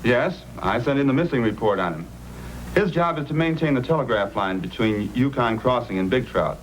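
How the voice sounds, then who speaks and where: A man's voice: medium pitch, expressive, normal speed, natural voice, rough recording. A man playing a helpful, welcoming frontier police officer in an old-time radio drama, friendly and earnest. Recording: old radio recording, faint noise.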